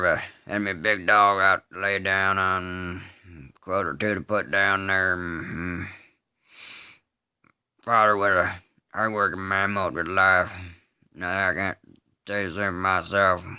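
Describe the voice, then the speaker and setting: A man performing a film-style monologue as a slow, simple-minded rural killer, unrepentant, in a halting deadpan Southern US drawl with grunted hums. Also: sits low, clean tone, dry recording, studio quality, heavily acted. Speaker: amateur voice artist. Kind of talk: dramatic monologue re-enactment of a film scene.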